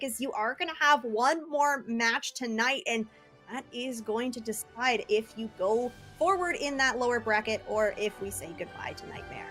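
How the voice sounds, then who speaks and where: A woman's voice: sits high, fairly bright tone. A woman working as an esports colour commentator, giving live analysis of a match. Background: faint music.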